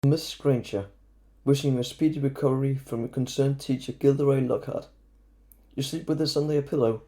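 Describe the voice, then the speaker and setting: A man's voice: mid-range voice, fairly even intonation, fairly quick, dark tone, close to an everyday voice. A man playing a wry, teasing teenage schoolboy wizard in an audio drama. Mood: mocking.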